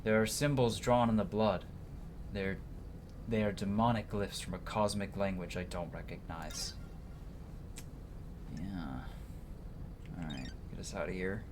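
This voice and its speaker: A man with a fairly low voice commentates a video game for a livestream. He moves between offhand casual remarks and dramatic read-aloud narration. There is noticeable noise behind him. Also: a little slow, pauses often. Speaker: livestream gamer. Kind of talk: gaming commentary mixed with reading game text aloud.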